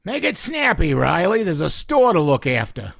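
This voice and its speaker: An actor playing a gruff, stingy older store boss in a vintage-style radio comedy, talking curtly and blustering. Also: male voice, on the high side, wildly swooping pitch, normal speed, fairly bright tone, no echo, studio quality, heavily acted. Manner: curt, blustering.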